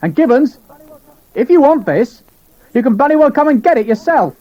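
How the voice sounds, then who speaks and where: A man's voice: extremely high pitch, sing-song, normal speed, dull audio, pitched above the performer's own voice. A man voicing an angry, defiant army officer who speaks in a raised, clipped voice.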